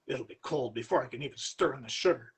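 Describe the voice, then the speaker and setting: Man reading as a husky, grumbling Victorian veteran. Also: medium pitch, fast. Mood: growling, sneering. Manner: curt, grumbling, sneering. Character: ill-mannered, self-pitying scarred Victorian war veteran. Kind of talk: live dramatic story reading.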